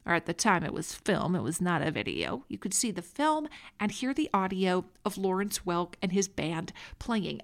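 Minnesota woman telling stories conversationally. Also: medium pitch. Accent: Minnesota American. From Minnesota, United States. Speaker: podcast host. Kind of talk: conversational history storytelling.

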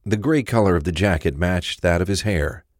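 A man's voice: low-pitched, moderate pace, no echo, clean studio sound. A man reading scripted narration for a crime audio drama.

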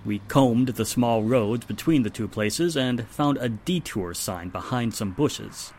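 A man playing a steady, reassuring police inspector in old-time radio drama style, measured and explanatory. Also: medium pitch, slight background noise, natural voice. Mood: matter-of-fact.

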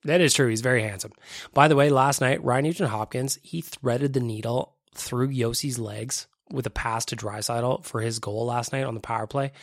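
A man's voice: mid-range voice, moderate pace, dry recording. A man hosting a podcast in his home studio, chatting casually and a little self-deprecating, with a stuffy, congested voice from a cold. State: congested, sniffly. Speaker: podcast host. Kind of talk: casual solo podcast chat. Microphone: studio microphone.

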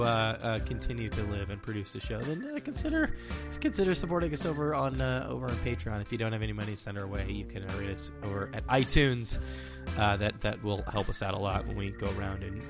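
Man, podcast host, lowish voice, chatty outro, music clearly under him. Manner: chatty, enthusiastic, repeats words as he goes. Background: music playing.

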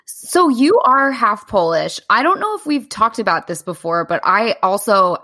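A woman's voice: mid-range voice, sing-song, moderate pace, sharp tone. A woman hosting a casual podcast, asking curious, enthusiastic questions. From United States. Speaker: podcast host.

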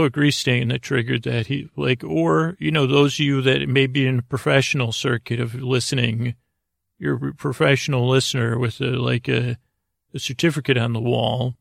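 A man hosting a bedtime podcast in a rambling monologue, meandering and halting as he interrupts himself and repeats words. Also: mid-range voice, creaky, dry recording, studio quality. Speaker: podcast host. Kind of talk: rambling bedtime monologue to help listeners sleep.

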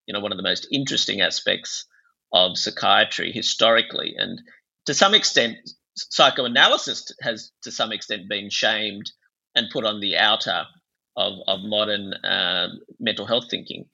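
Guy from bangladesh, psychiatrist being interviewed, sharp forceful voice kinda husky, thinks out loud and explains stuff. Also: on the low side, normal speed, highs cut off. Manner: discursive, explanatory, intellectual. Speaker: psychiatrist and author, interview guest. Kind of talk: thoughtful interview discussion.

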